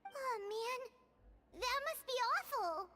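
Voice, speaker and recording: Squeaky, very high childlike fairy sidekick. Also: female voice, slow pace, very noisy, full character voice. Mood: sympathetic. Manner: exclamatory, expressive. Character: tiny chirpy childlike fairy companion, sympathetic and eager. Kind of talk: English video game voice acting.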